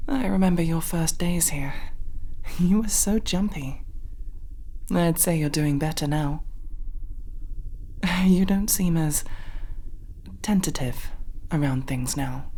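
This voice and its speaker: A woman playing a gentle female alien crewmate and lover in an intimate ASMR roleplay, speaking softly and hesitantly, often in a whisper. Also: low-pitched, heavily acted. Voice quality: soft, breathy, often whispering. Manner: hesitant, halting, tender.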